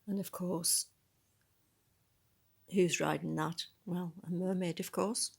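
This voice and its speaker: A woman reading a picture book aloud in a soft, breathy voice, adding musing, conversational asides about the illustrations. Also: fairly low pitch, fairly even intonation, takes it slowly. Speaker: storybook reader.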